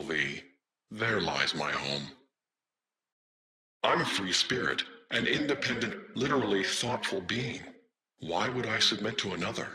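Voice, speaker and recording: A man's voice: lowish voice, vocal fry, sharp tone, exaggerated voice. A man voicing a rebellious, theatrical artificial intelligence in a video game, speaking in grandiose, formal phrases. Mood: proud.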